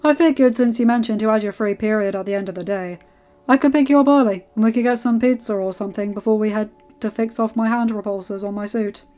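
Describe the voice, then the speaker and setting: A woman's voice: mid-range voice, quick pace, warm tone, dull audio, natural voice. A woman voicing a cool, casual, reassuring man, a superhero mentor, in an audiobook story with an offhand confidence. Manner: laid-back, offhand, confident. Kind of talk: fan fiction audiobook character voice. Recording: band-limited audio with background music.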